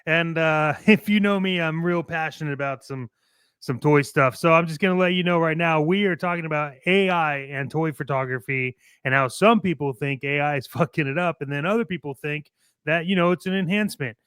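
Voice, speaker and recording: A man's voice: on the high side, a little fast, fairly bright tone, clean tone, dry recording. A man co-hosting a show, giving casual opinions in a blunt, emphatic way with some swearing. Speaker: co-host of a toy collecting show.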